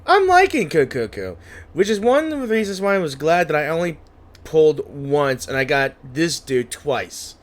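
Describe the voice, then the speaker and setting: Highish, very animated man reacting to a game. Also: normal speed. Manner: playful, chatty, interjecting. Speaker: gaming video host. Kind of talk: casual gameplay reaction commentary.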